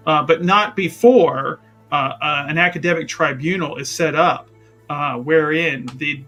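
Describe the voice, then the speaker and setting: A man playing a tabletop roleplaying game, improvising story narration in a halting, rambling way and often repeating words. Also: a little high, bright, forceful tone.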